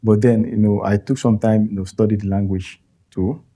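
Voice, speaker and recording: A Nigerian man whose first language is Yoruba, speaking as an interview guest in a thoughtful, halting way with frequent soft laughs. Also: on the low side, steady tone. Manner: thoughtful, halting, chuckles often. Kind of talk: interview conversation.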